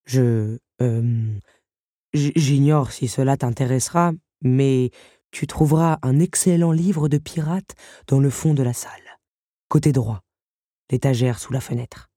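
A woman's voice: extremely low pitch, measured pace, warm tone, dry recording, clean studio sound, voice pushed down. A woman voicing an awkward, kindly male beast who is master of a castle, in an audiobook story. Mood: shy.

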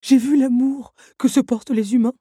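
A woman's voice: high-pitched, fairly even intonation, mellow, husky, no echo, clean studio sound, voice pushed up. A woman voicing a fragile, tearful male automaton who stammers and sobs as he speaks, in an audiobook. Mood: wistful. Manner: sobbing, halting, stammering. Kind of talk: audiobook character voice.

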